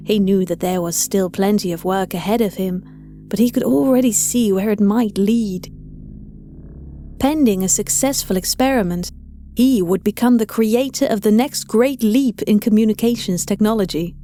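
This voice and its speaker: A woman's voice: mid-range voice, moderate pace, warm tone. A woman reading scripted audio fiction as a story narrator, with music behind her.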